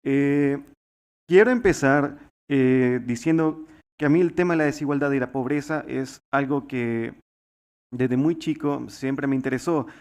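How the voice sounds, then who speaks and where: A man's voice: mid-range voice, unhurried, darkish tone, smooth. A man in his twenties from El Salvador giving a formal talk at a youth summit, earnest, with frequent fillers and restarts. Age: 20s.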